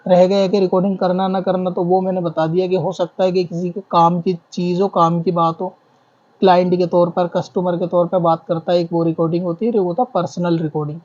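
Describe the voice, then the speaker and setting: A high-voiced man gives religious guidance like a teacher. His intonation stays very level as he reasons point by point and restates things. The recording is dull and lo-fi. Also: flat delivery, fairly quick, a little mellow. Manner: didactic, reasoning step by step with repetition. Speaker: Islamic scholar answering questions. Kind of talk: explanatory religious ruling in question-and-answer form. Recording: dull lo-fi audio.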